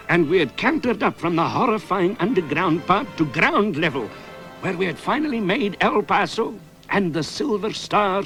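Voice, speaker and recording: A man playing an excitable, bumbling, boastful commentator and cowboy hero in madcap radio comedy. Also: sits high, heavily acted, low-quality recording. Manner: theatrical, exclaiming, flustered.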